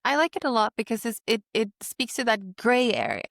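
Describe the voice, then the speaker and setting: A woman whose first language is Swedish, co-hosting a language podcast in casual chat and telling stories with lively quoted voices. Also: a little high, a little fast, gravelly, no echo, studio quality. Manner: animated storytelling with acted quotes. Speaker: podcast co-host.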